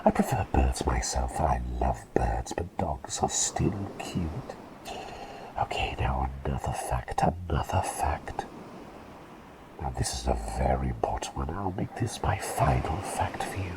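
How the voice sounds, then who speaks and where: A man voicing an eccentric, kindly plague doctor in an ASMR roleplay, whispering gently and soothingly with quaint, effusive repeated phrases. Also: rumbling low, lively intonation, a little slow, low-quality recording. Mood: gentle, chatty. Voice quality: breathy whisper with creaky edge.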